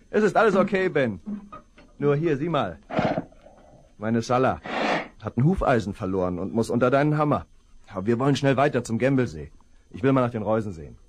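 An actor playing a brave, cheerful young rancher's son in a 1970s radio play. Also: male voice, mid-range voice, expressive, brisk, long pauses, close to an everyday voice. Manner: cheerful, bold. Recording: noisy old vinyl.

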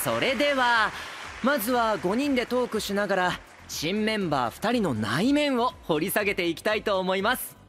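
A man's voice: high voice, big pitch swings, normal speed. A male actor playing a polite, princely young idol who hosts a stage event in an audio drama. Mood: gracious. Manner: courteous, smooth, gently chiding. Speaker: stage host.

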